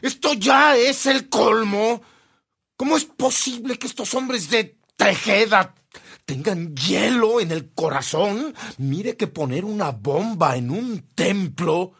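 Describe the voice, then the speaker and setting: Bishop: middle-aged, firm and solemn, slow, gravelly, slightly high voice, radio drama. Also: male voice, lively intonation, a bit bright, heavily acted. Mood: indignant. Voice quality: husky, creaky. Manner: solemn, emphatic, deliberate.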